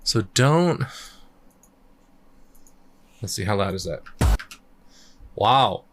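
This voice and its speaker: A man chatting casually on a live stream, thinking out loud as he works on his music, his voice a little croaky. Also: lowish voice, lively intonation, extremely slow, a little hiss or hum. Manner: rambling, thinks aloud while working. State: slightly croaky voice. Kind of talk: casual livestream chat.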